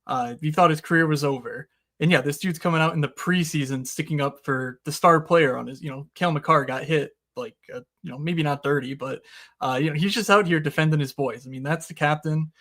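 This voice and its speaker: A man's voice: on the high side, fairly quick, fairly bright tone. A man who is a recurring guest analyst, talking casually and with enthusiasm as he explains draft strategy, with frequent fillers.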